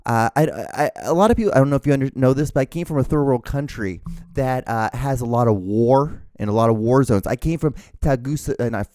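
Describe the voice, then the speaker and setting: A man's voice: medium pitch, a little fast, dark tone, dry recording, clean studio sound. A man from Honduras hosting his own podcast, talking casually and ranting with lots of profanity and stammered restarts. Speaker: podcast host. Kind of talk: casual solo podcast monologue.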